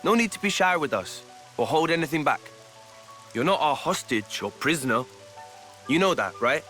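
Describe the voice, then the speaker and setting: An actor with an English accent playing a blunt, easygoing, warm-hearted young fighter in a video game cutscene, with colloquial, straight-talking delivery. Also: male voice, fairly high pitch, sing-song, normal speed, faint music behind. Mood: relaxed, reassuring.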